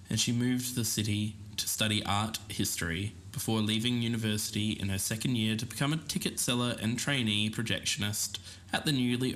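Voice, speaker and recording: Low-voiced man, noisy recording. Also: fairly bright tone. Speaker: podcast co-host. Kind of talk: scripted podcast narration mixed with casual chat. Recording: clear background noise, recorded on location.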